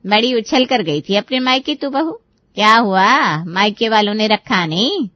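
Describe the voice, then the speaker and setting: A woman's voice: medium pitch, lively intonation, a little slow, dry recording, dull audio, voice pushed down, natural voice. A woman voicing a middle-aged, taunting mother-in-law who sounds sly and accusing in a Hindi moral-story drama.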